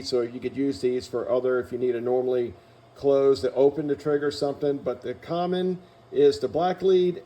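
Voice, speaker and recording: A man's voice: medium pitch, dark tone. A man presents a piece of hardware as a company demonstrator. He speaks in a mid-range voice and takes things methodically, explaining each part in turn. The delivery is that of a practical instructional walkthrough.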